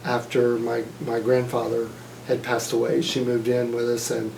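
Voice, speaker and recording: Mississippi guy in his 50s, slow thoughtful storytelling, lots of ums, kinda roomy sound. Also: medium pitch, monotone, vocal fry, a little mellow, a little hiss or hum. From Mississippi, USA. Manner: measured, thoughtful, many fillers and restarts. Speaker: interviewee. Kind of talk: oral history interview.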